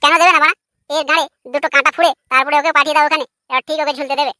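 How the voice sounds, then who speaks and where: A woman's voice: sits high, steady tone, rapid-fire, bright, forceful tone, no room sound. A woman voicing a cheeky, foul-mouthed, argumentative village boy in a comic cartoon. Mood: pleading, brash. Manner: brash, argumentative, swearing.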